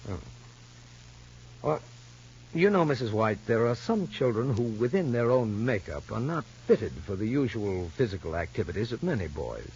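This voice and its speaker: An actor playing a measured, probing child psychologist in a 1950s soap opera, formal and deliberate. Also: male voice, medium pitch, very animated, a little mellow. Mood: calm, probing. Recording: muffled vintage broadcast with faint noise.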